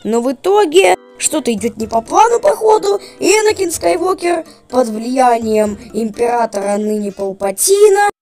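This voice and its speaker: A woman with a high voice and a noticeably creaky edge narrates a casual video. She comments in a chatty, informal way and shares opinions freely. Light music plays behind her. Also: sing-song, normal speed, few pauses, dark tone. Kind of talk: casual voice-over commentary on cartoons. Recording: music under the voice. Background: background music.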